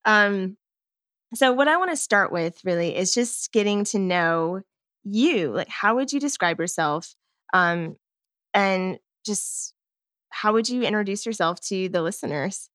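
A woman's voice: medium pitch, lively intonation, a little slow, fairly bright tone, no echo, clean studio sound. A woman hosting a podcast in a conversational interview style. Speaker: podcast host.

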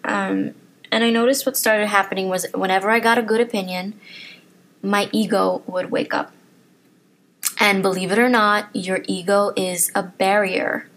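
A woman's voice: medium pitch, fairly even intonation, moderate pace, a bit bright. A young woman hosting a solo podcast, talking earnestly and encouragingly to her listeners while losing her voice. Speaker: podcast host. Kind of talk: motivational solo podcast talk.

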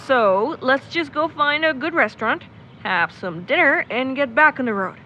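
A woman's voice: sits high, animated, normal speed, sharp tone, lo-fi sound, slight background noise. A woman playing a supportive, teasing young traveller in an audio drama, conversational and reassuring. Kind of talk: naturalistic audio drama acting.

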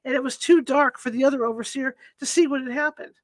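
A woman's voice: sits high, fairly even intonation, fast talker, no echo, natural voice. A woman reading as a dreamy, faraway young man. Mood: brooding. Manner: absent-minded, distant storytelling. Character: dreamy young man lost in his visions.

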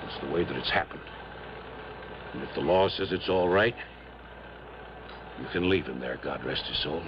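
A deep-voiced miner, mourns his partner in folksy, repetitive, emotional speech. It is vintage radio drama, dull in sound and clearly noisy behind him. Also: moderate pace. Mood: grieving, resigned. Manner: emotional, folksy, repeats himself. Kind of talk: 1950s-60s radio drama acting.

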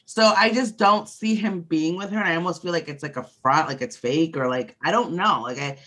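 A woman with a deep voice co-hosting a podcast, chatting frankly and speculating, with the odd swear. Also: brisk, a bit bright. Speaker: podcast co-host. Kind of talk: casual chat.

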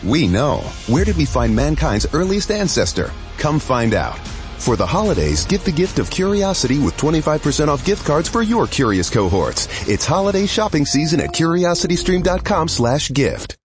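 A man's voice: a little high, sing-song, moderate pace. A man reading a scripted advertisement as an upbeat announcer. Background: faint music.

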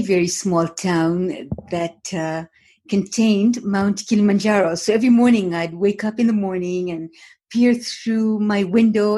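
A middle-aged woman from Tanzania speaks as a guest on an interview show. Her voice sits a little low, dark and husky. She talks in a measured, reflective way, pausing often with soft ums and uhs. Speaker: guest, author. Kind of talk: interview conversation.

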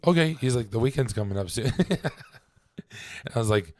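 A man co-hosting a podcast in casual chat, his voice raspy and hoarse from laryngitis, talking haltingly with many ums and trailing off quietly. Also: mid-range voice, expressive. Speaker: podcast co-host. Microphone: podcast microphone.

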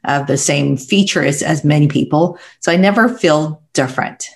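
A woman's voice: sits low, vocal fry. Reflective Taiwan-born woman telling her story. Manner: reflective, halting, with small restarts. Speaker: guest. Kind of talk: conversational interview storytelling.

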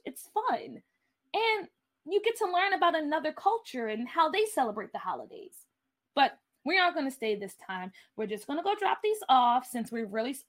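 A woman voicing a friendly, nostalgic mother in a story, chatty and explaining. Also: on the high side. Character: nostalgic, friendly mother of a school-age child.

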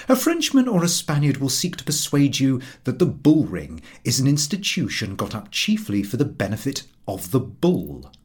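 A man reading a humorous literary essay aloud as an audiobook narrator, in a measured, wry and expressive way. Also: mid-range voice, slightly rough.

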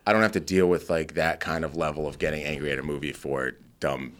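Male podcast co-host, low-pitched, slight Boston accent. Casual film talk, exasperated and freely sweary. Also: steady tone, a little fast, fairly bright tone. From Massachusetts, United States. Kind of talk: casual opinionated film chat.

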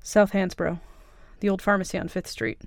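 Woman playing a cocky, creaky-voiced young mafia princess. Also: medium pitch, fairly quick, no room sound, natural voice. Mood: taunting, menacing.

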